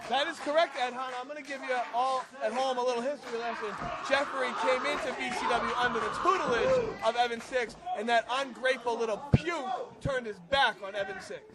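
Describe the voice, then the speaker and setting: A man with a high-pitched voice commentating on a wrestling match as the show's owner, smug, boastful and insulting about the fans and the wrestlers. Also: a little fast, hardly any pauses, very noisy. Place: wrestling club. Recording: loud crowd behind.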